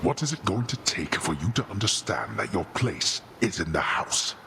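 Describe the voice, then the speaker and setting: An actor playing a stern, commanding old god in a video game, ominous and theatrical. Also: male voice, sits low, very animated, normal speed, noisy background. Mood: stern, menacing. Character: stern, imperious old god father, menacing male.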